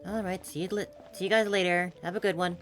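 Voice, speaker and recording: A woman's voice: on the low side, fairly even intonation, fast talker, faint music behind. A woman streamer giving casual reactions as she plays a game. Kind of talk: casual reaction commentary over gameplay.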